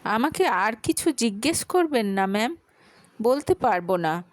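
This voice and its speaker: A woman's voice: a little high, sing-song, a little slow, no room sound. A woman voicing a frightened, pleading college girl in her early twenties for a dramatic audio story. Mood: defensive, anxious. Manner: pleading, anxious, defensive.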